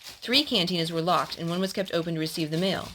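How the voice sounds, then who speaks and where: A woman's voice: fairly low pitch, fast, fairly bright tone, a little hiss or hum. A woman storyteller reading a historical children's story aloud to a young listener.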